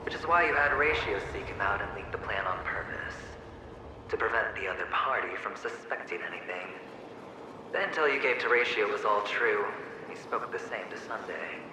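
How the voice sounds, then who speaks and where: A man's voice: lowish voice, animated, moderate pace. An actor playing the mocking inner double of a young man, taunting and slipping into hissed whispers, his voice echoing and distant. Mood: scheming. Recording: heavy echo, distant, game ambience noise.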